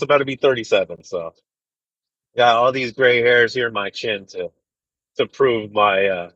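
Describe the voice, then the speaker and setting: A man in his thirties chatting casually with viewers on a livestream, rambling and relaxed with lots of fillers. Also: mid-range voice, no echo, lo-fi sound. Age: 30s. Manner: laid-back, rambling, full of fillers and "dude". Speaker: truck driver livestreamer. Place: inside a truck cab.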